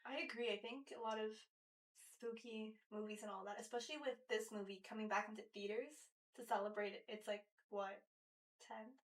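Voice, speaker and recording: A young woman with a hoarse voice chats easily as a podcast co-host. Her pitch sits a bit high, and she is a little distant from the mic. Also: fairly even intonation, moderate pace. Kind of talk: casual chat.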